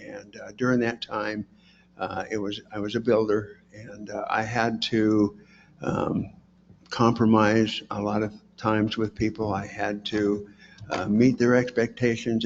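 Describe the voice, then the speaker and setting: A soft-voiced man introduces himself as a board candidate, low and very flat in pitch. He talks haltingly, filling gaps with "uh" and "um." A faint hum sits under a dull, lo-fi recording. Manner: halting, many "uh" and "um" fillers. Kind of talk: self-introduction speech.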